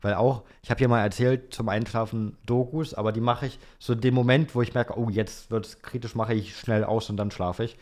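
A man's voice: mid-range voice, fairly even intonation, brisk, few pauses, a little mellow. A young adult man co-hosting a podcast in casual, slangy conversation, repeating phrases for emphasis. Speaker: podcast co-host.

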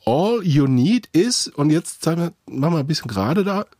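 A man with a cold co-hosts a studio podcast, his voice husky and a little high. He jokes at his own expense in relaxed banter. The sound is dry and close. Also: moderate pace, no echo. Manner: joking, self-deprecating banter. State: hoarse from a cold. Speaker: podcast co-host. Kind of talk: casual studio chat.

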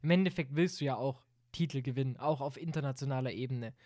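A young man co-hosting a casual football podcast, relaxed and laid-back, speaking fairly softly. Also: mid-range voice, quick pace, dry recording, clean studio sound. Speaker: podcast co-host.

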